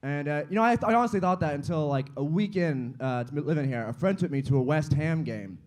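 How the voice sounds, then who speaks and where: American man, stand-up comic, slightly high voice, self-deprecating, chatty with the crowd. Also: expressive, fast, darkish tone. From United States, small-town Ohio. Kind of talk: stand-up set. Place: comedy club stage.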